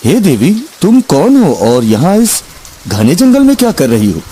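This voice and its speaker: A man acting a slow-spoken, dramatic king. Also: a little high, huge pitch swings, dark tone, slight background noise. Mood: curious, courteous. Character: adult male king, troubled, easily alarmed. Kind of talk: acted Hindi moral cartoon story.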